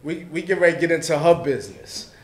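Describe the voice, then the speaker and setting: A man hosting a podcast, introducing his guest in a loose, friendly, colloquial way, on a desk boom mic in a small studio. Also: animated, fast talker, reverberant. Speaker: podcast host. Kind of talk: casual show intro and chat.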